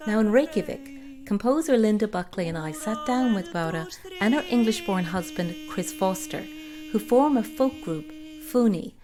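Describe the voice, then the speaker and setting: A woman's voice: mid-range voice, animated, normal speed. A woman podcast host reading scripted narration to introduce guests and stories.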